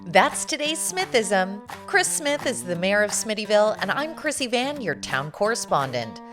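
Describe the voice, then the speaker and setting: A woman's voice: medium pitch, big pitch swings, normal speed. A woman reading scripted intros and outros as the host and announcer of a show. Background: music under the voice.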